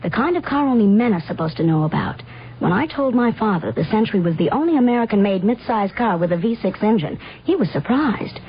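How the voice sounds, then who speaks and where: A woman's voice: medium pitch, animated, a little fast, natural voice. An actress playing a confident modern woman in a radio commercial, telling her story wryly. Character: confident, wry modern career woman car buyer. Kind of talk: 1970s radio commercial monologue. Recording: muffled radio recording, faint hum.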